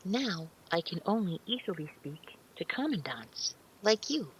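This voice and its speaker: A woman's voice: lowish voice, big pitch swings, measured pace, a little hiss or hum, low-quality recording. An actress playing a meek, naive, otherworldly female servant in an audio drama, speaking in careful, stilted, deferential phrases with hesitant hums. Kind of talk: scripted audio drama roleplay.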